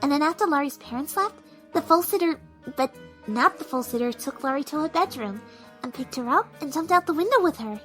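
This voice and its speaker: A woman voicing a timid, soft-spoken, kind young female pegasus pony in a cartoon audio drama, hesitant and apologetic. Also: sits high, lively intonation, fairly quick, soft background music. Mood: worried. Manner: hesitant, apologetic, gently stammering.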